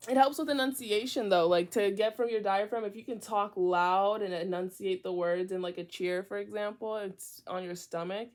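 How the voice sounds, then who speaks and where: A young woman from Virginia reading a book aloud in a casual way, often drifting into chatty side remarks, with a high and often loud voice. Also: fairly even intonation, moderate pace, few pauses. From Virginia, USA. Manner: chatty, digressive, mixes reading with asides. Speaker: book reader. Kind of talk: casual read-aloud with tangents.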